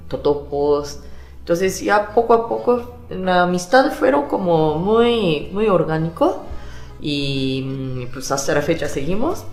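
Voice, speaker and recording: A woman speaking in simple, halting Spanish in a documentary interview. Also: low voice, a little mellow. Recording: roomy echo.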